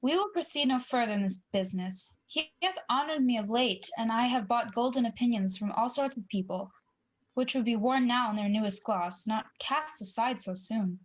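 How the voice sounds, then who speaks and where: A woman's voice: a little high, normal speed, close to an everyday voice. A woman playing a wavering, guilt-ridden nobleman in a 1940s-style radio play, with earnest, troubled delivery. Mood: resolute.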